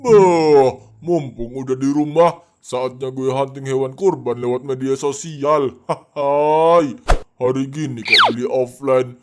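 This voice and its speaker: A man's voice: medium pitch, takes it slowly, slight background noise, lightly acted. An actor playing a boastful middle-aged village man in a cartoon, cocky and given to chuckling. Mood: scheming.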